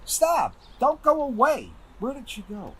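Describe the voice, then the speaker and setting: An actor playing an earnest, grieving, easily agitated widower in a radio drama. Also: male voice, very high voice, wildly swooping pitch, unhurried, fairly bright tone, husky, exaggerated voice. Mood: alarmed, bewildered.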